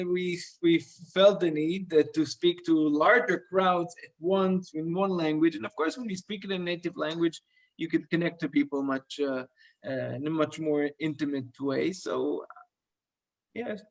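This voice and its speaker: Middle-aged rabbi, slightly high voice, halting and earnest, casual chat. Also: male voice, low-quality recording. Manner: halting, restarts phrases, earnest. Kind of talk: casual live-stream chat.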